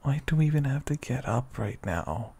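A young man with a whispery voice speaks softly and sleepily in a teasing boyfriend roleplay, often breaking into quiet laughs. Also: mid-range voice, animated, normal speed, slightly rough. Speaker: ASMR roleplay performer. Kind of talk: soft-spoken ASMR boyfriend roleplay.

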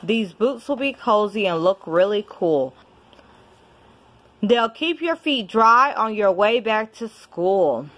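A woman reading a children's picture book aloud at home in an expressive, deliberate storybook manner. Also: mid-range voice. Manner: measured, deliberate storybook delivery. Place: indoors at home.

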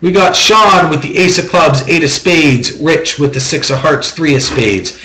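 A man's voice: fairly high pitch, animated, unhurried, sharp tone, slight background noise. A man hosting a card-break auction, giving methodical, repetitive running commentary on a card deal in a small room. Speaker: host of a trading-card box-break auction. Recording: roomy echo.

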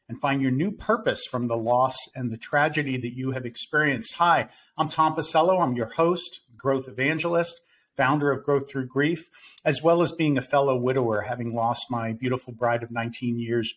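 A man in his fifties hosting a podcast interview over a video call from home, with a steady, earnest delivery. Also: medium pitch, slight background noise. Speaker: podcast host.

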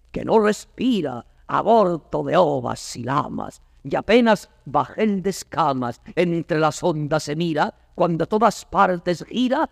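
Anguished captive declaiming in cavernous reverb. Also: male voice, a little high, gravelly, studio quality, exaggerated voice. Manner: declamatory, mournful, theatrical verse delivery. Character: chained, anguished, brooding captive man lamenting his fate. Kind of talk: classical verse stage acting.

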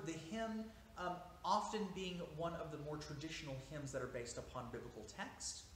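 A man in his thirties teaches a seated class in a small church, his fairly high voice rising and falling a lot as he explains. He pauses on fillers and restarts now and then. The room is echoey, with a faint hiss underneath. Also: fairly bright tone. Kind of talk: informal lecture. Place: small church interior, seated near a window. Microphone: camera at a few meters.

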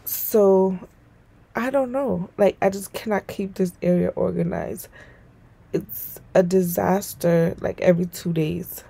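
A woman's voice: on the low side, fairly even intonation, slow pace, vocal fry, mellow, breathy edge. A young adult woman narrating a home vlog in a casual, informal, rambling way. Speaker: home vlogger and mother.

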